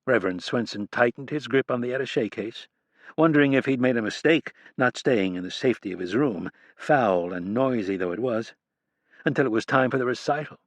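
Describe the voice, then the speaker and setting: A man narrating a crime thriller audiobook in a measured, even reading. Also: medium pitch, no room sound. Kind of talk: scripted narration.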